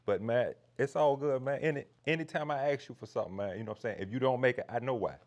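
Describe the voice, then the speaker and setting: A man's voice: medium pitch, fast, dull audio. A man hosting a podcast interview in an easygoing, reassuring casual way. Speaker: podcast host.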